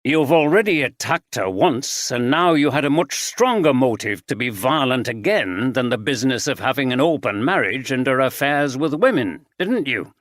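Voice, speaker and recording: A man's voice: medium pitch, lively intonation, normal speed, hardly any pauses, a bit bright, no echo, clean studio sound, natural voice. A man playing a shrewd older male detective, probing and then urgent and commanding.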